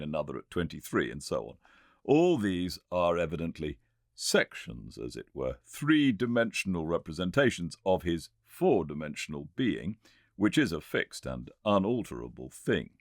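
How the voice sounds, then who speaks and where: A man voicing a Victorian gentleman inventor with a British accent, lecturing eagerly and confidently in an emphatic audiobook performance. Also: low-pitched, no room sound, studio quality. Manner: emphatic, didactic, pauses to make points. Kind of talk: audiobook character dialogue.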